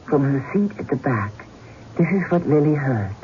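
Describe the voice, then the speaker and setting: A man's voice: mid-range voice, sing-song, moderate pace. A man giving scripted, theatrical narration as the host of a radio drama. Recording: dull, noisy old radio broadcast.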